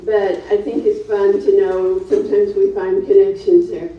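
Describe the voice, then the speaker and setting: A woman's voice: mid-range voice, flat delivery, normal speed. A woman hosting a seminar panel informally, chatty and loosely phrased as she introduces speakers. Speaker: seminar host and panel moderator. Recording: very echoey room, distant dull mic.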